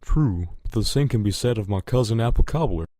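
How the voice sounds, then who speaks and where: A man's voice: lowish voice, animated, fairly quick, mellow, no echo. Man voicing a folksy Southern farm stallion. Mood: stubborn. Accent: American Southern. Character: male orange farm pony, rustic, stubborn and easygoing. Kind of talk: fan audio drama acting.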